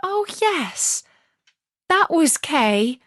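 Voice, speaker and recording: A woman acts out an eager little storybook girl, loyal and hopeful. Her voice is raised high and swings freely as she exclaims in an earnest, excitable way. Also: drawn-out words, dry recording, studio quality, heavily acted. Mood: delighted.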